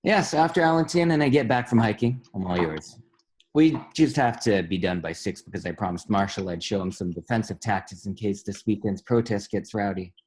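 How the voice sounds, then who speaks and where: A man's voice: on the low side, expressive, fairly quick, a little mellow, a little hiss or hum, natural voice. A man playing a friendly, cocksure young roommate who earnestly spouts conspiracy theories in a comedy table read, slangy and boastful. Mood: easygoing. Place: at home, remote table read.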